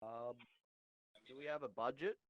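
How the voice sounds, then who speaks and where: A man's voice: medium pitch, normal speed, no echo, dull audio. A young man on a podcast chatting casually in an understated, hesitant way. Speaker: podcast co-host. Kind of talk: casual chat.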